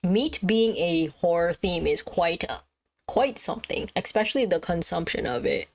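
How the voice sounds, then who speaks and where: A woman's voice: on the low side, animated, normal speed. A woman livestreamer chatting casually as she reacts to a video, musing aloud with exclamations and questions. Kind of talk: casual live reaction chat.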